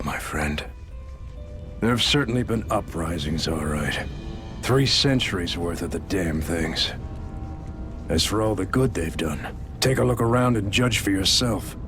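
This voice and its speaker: Weary, low-voiced older doctor. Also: male voice, lively intonation, over music. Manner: admonishing, world-weary, measured. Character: weary, caring, gruff older slave doctor. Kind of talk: video game cutscene voice acting.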